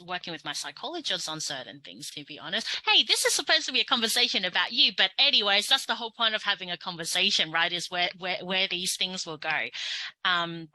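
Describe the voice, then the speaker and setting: A woman's voice: lowish voice, expressive, fairly quick, sharp tone, no echo, poor audio. A young Australian woman with an Australian accent, hosting a casual conversation, talking openly with frequent ums and restarts. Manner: chatty, with many fillers and restarts. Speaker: podcast host.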